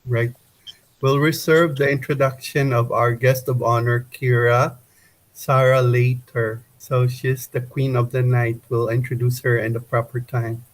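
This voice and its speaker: A man acting as co-host, announcing guests in an even tone. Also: mid-range voice, measured pace, poor audio.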